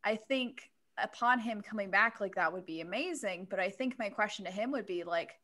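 A woman's voice: mid-range voice, normal speed, bright, forceful tone. A young woman talking casually as a panelist in a podcast discussion, close to the microphone. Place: home room, remote video call. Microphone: close microphone on stand.

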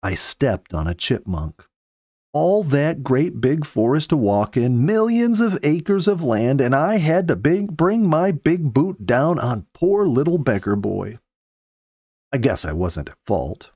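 A man in his fifties reading a story aloud with earnest, measured, dramatic phrasing, close to a studio microphone. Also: mid-range voice, sing-song, mellow, dry recording. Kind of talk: expressive audiobook-style story narration. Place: small home study, indoors. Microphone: close studio mic with pop filter.

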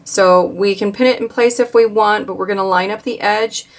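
A woman calmly walking viewers through a quilt block step by step, with occasional fillers as she works. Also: medium pitch, moderate pace. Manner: calm, instructive, with occasional fillers. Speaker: quilting instructor. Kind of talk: step-by-step craft tutorial.

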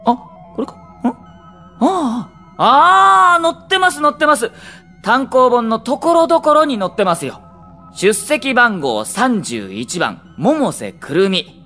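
A man's voice: very high-pitched, sing-song, pushed and bright, faint music behind, heavily acted. A male actor playing a polite, hesitant alien second-in-command who serves his captain loyally in an anime audio drama. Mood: searching, dry. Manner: polite, measured, deferential.

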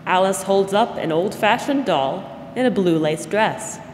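A woman narrating a scripted 1940s-style radio drama with measured, deliberate phrasing. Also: mid-range voice, lively intonation, a little mellow, a little hiss or hum, lightly acted. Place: school theater stage. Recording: theater hall reverb. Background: music.

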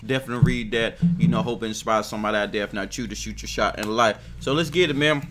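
A man's voice: lowish voice, fast talker, over loud music. A man in his thirties hosting a casual reaction video from a home streaming room, filling his talk with "man". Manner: hype, repeats "man" often. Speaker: reaction channel host. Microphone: desk condenser mic, headphones on.

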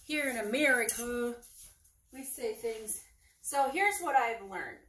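A woman's voice: on the high side, expressive, slow, fairly bright tone, a little hiss or hum. A woman in her thirties with a Wisconsin accent, chatting casually to camera from her kitchen, rambling and groping for words as she goes. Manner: rambling, searching for words, self-correcting. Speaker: vlogger. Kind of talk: casual chat. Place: home kitchen, indoors. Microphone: phone camera across the table.

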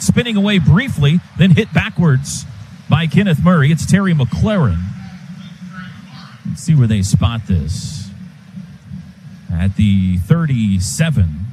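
A man with an American accent and a fairly high voice works as a football radio announcer. He describes the action in short clipped phrases, live from the booth, with faint background noise. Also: darkish tone, low-quality recording. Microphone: broadcast booth microphone. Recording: live stadium broadcast, faint ambient noise.

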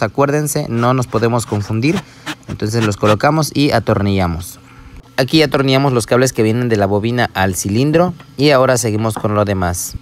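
A man talking viewers through a small-engine repair step by step, patient and explanatory, speaking to them directly as a voice-over. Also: mid-range voice.

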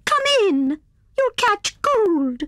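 A fussy lady squirrel from a children's storybook. Her voice is extremely high and very animated. She is prim and exclamatory, and never rushes her words. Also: no echo, clean studio sound, full character voice. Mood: fussy, impatient. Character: fussy, indignant female squirrel.

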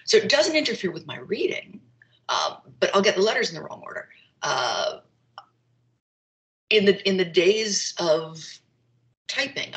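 A middle-aged woman with a slightly low voice, the kind of guest who shares frank stories on an online group call from her kitchen. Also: a bit bright, poor audio. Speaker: guest speaker. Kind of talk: candid conversational storytelling. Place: open-plan kitchen and living room at home. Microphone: video call, computer microphone.

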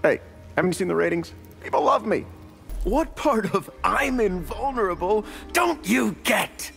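An actor voicing a narcissistic, menacing caped superhero in a video game, with mocking, condescending sarcasm. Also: male voice, fairly high pitch, animated, fast, gravelly, faint music behind. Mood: arrogant. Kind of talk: video game fight taunts.

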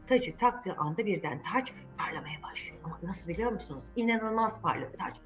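A woman's voice: mid-range voice, animated, fairly quick. A woman telling a story to children in a conversational way, often putting questions to her listeners. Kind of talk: animated storytelling addressed to children. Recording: muffled audio with music behind.

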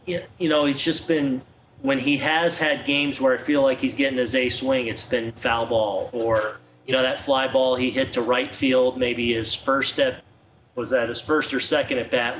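A man's voice: medium pitch, a bit bright, a little hiss or hum, poor audio. Middle-aged man musing at a press conference. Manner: thoughtful, rambling with ums and restarts.

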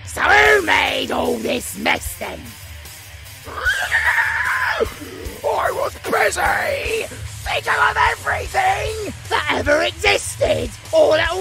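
A British man playing a gleefully unhinged undead vampire-werewolf half-breed, shouting and rambling theatrically in an audio drama. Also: extremely high pitch, gravelly, full character voice. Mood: giddy, unhinged. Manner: shouting, rambling, cackling, fourth-wall-breaking trivia patter.

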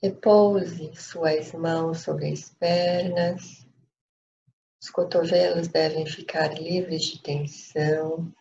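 A woman with a Brazilian accent leading a guided meditation in a calm, unhurried way with pauses. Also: low-pitched, creaky. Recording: dull lo-fi audio, faint noise.

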